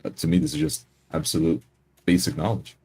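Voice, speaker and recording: A man playing a tabletop game, improvising his character's words and actions in measured, slightly formal wording. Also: very deep voice, little pitch movement, a little mellow, breathy edge.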